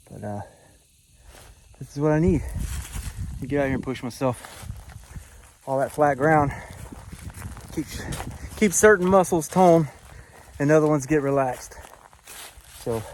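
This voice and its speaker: Man, hiking vlogger, breathless, slightly hoarse, talks casually to a handheld camera, faint outdoor noise behind. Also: mid-range voice, measured pace, pauses often, darkish tone. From Florida. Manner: breathing hard, winded while hiking uphill. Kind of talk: casual vlog narration to camera. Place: outdoors on a forest hiking trail.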